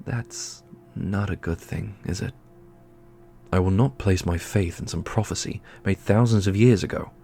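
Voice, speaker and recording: A man's voice: low-pitched, measured pace, creaky, warm tone, faint music behind. A man playing a casual, irreverent young adventurer in a fantasy audio drama, with a wry way of talking. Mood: defiant.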